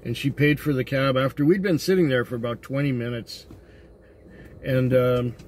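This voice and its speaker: A man driving a taxi, talking in a tired, rambling way with many fillers, his voice slightly hoarse and congested. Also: mid-range voice, fairly even intonation, normal speed, a little mellow. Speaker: taxi driver. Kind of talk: casual vlog monologue. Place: inside a taxi at night. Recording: noisy car interior. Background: car and road noise.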